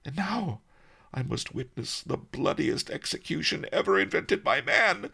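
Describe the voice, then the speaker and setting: Key Rabbit, a wailing, squeamish rabbit. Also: male voice, on the high side, expressive, measured pace, gravelly, bright, forceful tone, breathy edge, pitched above the performer's own voice, heavily acted. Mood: melodramatic. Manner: theatrical wailing, overwrought.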